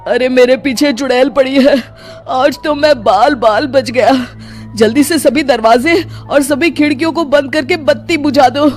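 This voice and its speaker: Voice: high voice, measured pace, faint music behind. A performer voicing a frightened male village farmer in a Hindi cartoon, panicky and fearful. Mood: scared. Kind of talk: cartoon voice acting.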